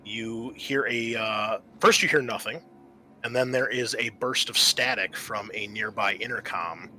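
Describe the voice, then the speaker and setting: A man working as game master, narrating a tabletop roleplaying scenario with measured, storyteller-like scene-setting. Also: on the low side, bright, forceful tone, a little hiss or hum.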